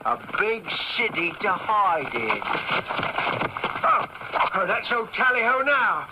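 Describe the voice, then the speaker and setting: A man's voice: high-pitched, big pitch swings, slow pace, full character voice. An actor playing a sly, jovial rustic coachman and crook with a folksy manner, in an old-time radio drama. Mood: gleeful, scheming. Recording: faintly noisy old radio recording.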